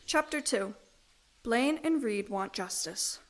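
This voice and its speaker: A woman's voice: fairly high pitch, lively intonation, takes it slowly. A woman playing a haughty, amused girl storyteller in a children's audio drama, mocking and dramatic in her delivery. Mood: wry narration.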